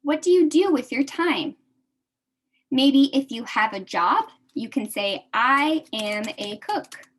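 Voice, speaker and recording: A young American woman teaching a beginner English class, speaking slowly and repeating each phrase for her adult learners. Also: sits high, lively intonation, fairly bright tone.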